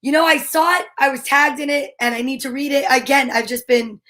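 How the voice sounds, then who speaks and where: A woman talk show host chatting casually, telling stories in an animated, rambling way. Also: high voice, brisk. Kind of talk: casual chat.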